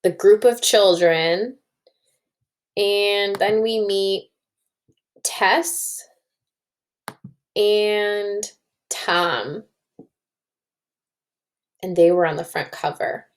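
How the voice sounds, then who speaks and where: A woman's voice: medium pitch, very slow, long pauses. A woman teacher doing a children's read-aloud and story discussion, thinking aloud, recorded indoors at a computer.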